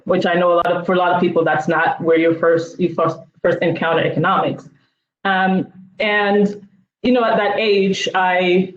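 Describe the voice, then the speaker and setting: A woman economist reflecting in a measured way on a video roundtable, with frequent fillers. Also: on the low side. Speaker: economist panelist. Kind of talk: roundtable discussion. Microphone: video call. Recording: roomy, dull video call audio.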